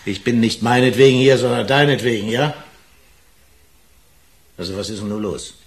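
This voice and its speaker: A man's voice: mid-range voice, normal speed, natural voice. A man playing a familiar, patronising, sceptical police detective in a German radio crime drama. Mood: familiar, probing.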